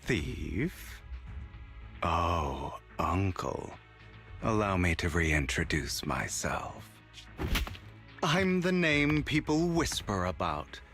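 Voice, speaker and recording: A suave con artist from a video game, taunting and theatrical. The voice is fairly low and unhurried, swooping dramatically through each phrase over soft music. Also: male voice, sing-song, heavily acted. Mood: grandiose. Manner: slow, dramatic, taunting delivery. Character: suave, theatrical gentleman thief, mocking young con artist.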